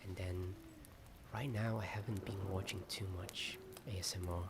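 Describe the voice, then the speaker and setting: A man whispering a hesitant, rambling ASMR chat in a soft, often breath-only voice. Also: low voice, steady tone, moderate pace. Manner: hesitant, rambling, self-deprecating. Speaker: ASMR video host. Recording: strong ambient noise behind whisper. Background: added ambience sound.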